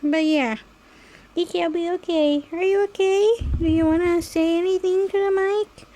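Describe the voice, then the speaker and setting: A woman's voice: very high-pitched, fairly even intonation, normal speed, mellow. A woman who is a non-native English speaker, chatting casually and in a rambling, giggly way as she hosts a solo podcast. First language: not English. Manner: rambling, giggly, often trailing into small asides. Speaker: podcast host. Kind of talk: casual solo chat with personal anecdotes.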